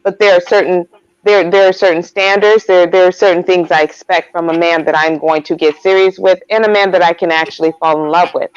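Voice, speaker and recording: A woman's voice: lowish voice, fairly even intonation, a little fast, smooth, no room sound, muffled recording. A woman giving her opinions in a discussion, speaking emphatically and assertively.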